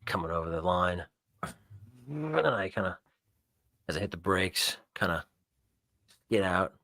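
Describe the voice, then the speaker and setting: A man playing a tabletop roleplaying game, improvising actions and in-character speech in a halting, repetitive way. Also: low-pitched, animated, bright, forceful tone, dry recording, studio quality. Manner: halting, repeats words.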